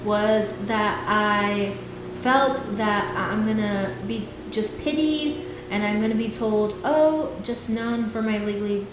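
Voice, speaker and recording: A woman's voice: mid-range voice, slow pace. A woman in her twenties hosting her own podcast, talking in an earnest, rambling way and often trailing off with "things like that.". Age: 20s. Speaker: podcast host. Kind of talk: casual solo podcast talk. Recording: very echoey room, faint hiss.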